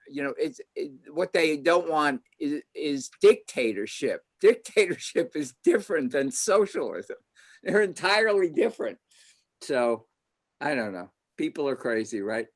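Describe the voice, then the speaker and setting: A man hosting an online panel discussion, talking in an animated, opinionated way and thinking aloud. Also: mid-range voice.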